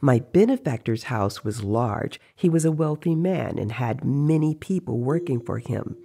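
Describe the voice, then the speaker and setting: A narrator telling a dramatized story in a measured, deliberate way with pauses. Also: mid-range voice, gravelly, background noise. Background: music.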